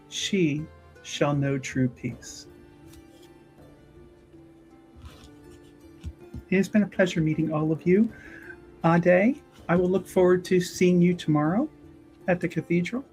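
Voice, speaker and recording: A woman playing a gentle, earnest, pious priestess who offers solace in formal, halting words over music. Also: on the low side, close to an everyday voice. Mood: gracious.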